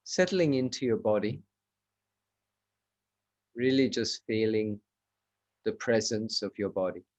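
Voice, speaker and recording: A man leading a guided meditation as a teacher, giving measured instructions in short, spaced phrases. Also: fairly low pitch, darkish tone.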